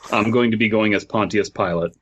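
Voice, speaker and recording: A man's voice: on the low side, fairly even intonation, fairly quick, husky. A man hosting a podcast in casual comedic chat, given to riffing and acting out little bits. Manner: riffs with acted-out bits. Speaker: podcast host.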